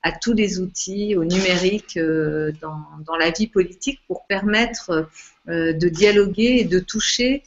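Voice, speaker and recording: A woman's voice: lowish voice, measured pace, slight background noise. A woman who is a political candidate, speaking hesitantly with many fillers in a live video chat. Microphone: video call. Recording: dull lo-fi video call audio.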